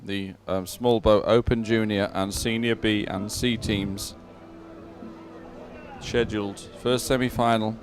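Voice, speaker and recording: A man's voice: on the low side, steady tone, slow, faint music behind. A man giving conversational live commentary on a sporting event as a co-commentator.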